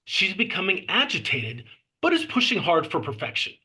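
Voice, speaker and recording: A man narrates a screenplay in a fairly high, forceful voice with a husky grain. He delivers the stage directions in measured phrases and clips the scene headings short. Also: huge pitch swings.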